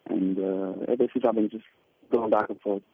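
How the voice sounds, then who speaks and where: A man's voice: fairly low pitch, steady tone, normal speed, husky, dry recording. Hesitant man on a tinny phone line. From Sudan. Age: 20s. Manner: hesitant, many fillers and restarts. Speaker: musician guest. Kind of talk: phone interview.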